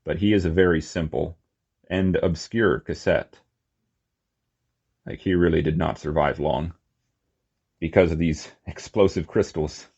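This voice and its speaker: A man with a low-pitched voice talks through a hands-on review in a casual, unscripted way. He rambles and murmurs to himself as he goes. The recording is a little dull and lo-fi. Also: steady tone, a little slow, long pauses, a little mellow, breathy edge. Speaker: toy collector reviewing figures.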